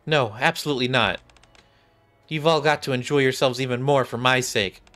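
A man's voice: mid-range voice, moderate pace, fairly bright tone. A young adult man, a game streamer, reading visual novel dialogue aloud. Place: small indoor room. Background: game music.

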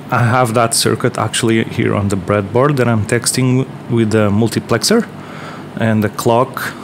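Low-voiced man, Portuguese accent. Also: slight background noise. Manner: relaxed, rambling, many fillers and restarts. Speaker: electronics maker. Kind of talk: casual workbench demo. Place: workbench, indoors.

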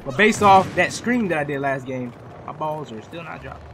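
A young man giving casual, profanity-laced commentary over his own console shooter gameplay, chatty and quick to laugh at himself. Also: fairly high pitch, lively intonation, fairly bright tone, slight background noise. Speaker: gaming YouTuber.

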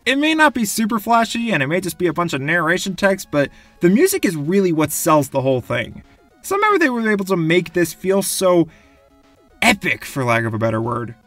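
A man's voice: sits high, brisk, no echo. Young guy doing jokey game narration, super swoopy voice, dry close sound over music. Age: young adult. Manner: animated, wry, casual swearing. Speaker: video essay narrator. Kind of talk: scripted comic narration about video games.

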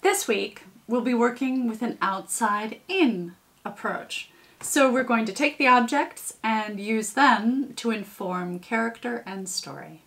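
A woman in her thirties presenting a demonstration with a co-host, with deliberate, measured phrasing and slightly theatrical touches. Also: on the high side, vocal fry, a bit bright. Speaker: puppetry presenter. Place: home kitchen.

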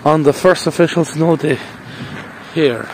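A man's voice: a little high, a little slow, a little hiss or hum, poor audio. Chatty man vlogging outdoors. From Ireland. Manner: chatty and rambling, playful sing-song bursts. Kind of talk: casual walking vlog. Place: outdoor park path in falling snow. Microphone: phone held at arm's length. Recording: faint outdoor ambience.

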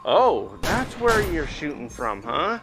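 A man's voice: on the high side, big pitch swings, slow pace. A man hosting a gaming video, chatting casually to viewers as he plays a VR game. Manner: chatty, talking to viewers while playing. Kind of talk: casual VR gameplay commentary. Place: indoors, playing in a VR headset. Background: game music.

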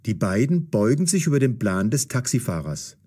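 A man narrating a scripted story for language learners in a deliberate, carefully enunciated, dramatic storytelling style. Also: medium pitch, very animated, mellow, breathy edge.

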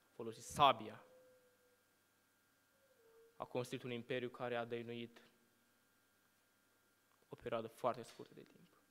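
A young male preacher speaks from a lectern in a church hall. His clear, bright voice rings out with a long reverb trailing each phrase. He builds rhetorical questions and lets his sentences sink to a hushed close. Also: mid-range voice. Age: young adult. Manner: measured rhetorical preaching, rising on questions and dropping to hushed endings. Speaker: student preacher. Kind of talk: sermon. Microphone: lectern microphone.

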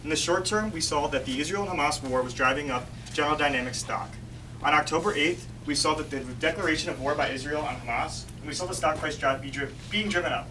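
A young man giving a rehearsed finance presentation, stressing the start of each point. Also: on the high side. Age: young adult. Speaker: student presenter. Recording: distant voice with room noise.

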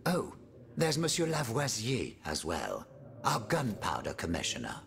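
A man's voice: lowish voice, huge pitch swings, a little slow, gravelly, a bit bright, full character voice. An actor playing a pompous, silky statesman and schemer in a video game, theatrical, florid and courtly. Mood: suave, wry. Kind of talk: video game cutscene acting.

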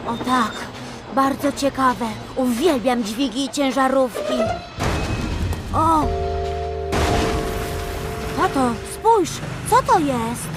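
A woman voicing a curious, excitable little boy puppy in a children's cartoon dub, with an eager, childlike manner. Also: high-pitched, takes it slowly, pauses often, over loud music. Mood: curious, delighted.

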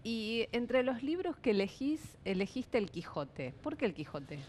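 A woman's voice: a little high, big pitch swings, a little slow, a little mellow, a little hiss or hum. A middle-aged woman with a Rioplatense accent, a TV interviewer asking probing questions in a conversational way on a headset mic in a studio. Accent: Rioplatense Spanish. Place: dark TV studio at a round table.

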